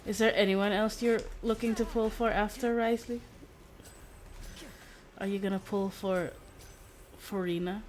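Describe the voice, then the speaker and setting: A woman's voice: mid-range voice, fairly even intonation, measured pace, pauses often, a little hiss or hum. A woman streaming a game, chatting casually in a blunt, sweary way. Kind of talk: casual chat while playing a game. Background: game sounds.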